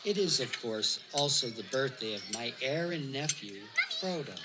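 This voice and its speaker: A woman playing a jovial, eccentric elderly male hobbit in an audio drama, orating in a grand, deliberate manner. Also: very deep voice, expressive, very noisy. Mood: wry, formal. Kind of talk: theatrical audio drama speech.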